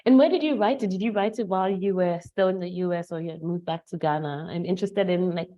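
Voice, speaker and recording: Mellow low-voiced woman interviewer, slightly dull sound. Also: quick pace. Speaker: interviewer, fellow writer. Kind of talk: informal literary interview.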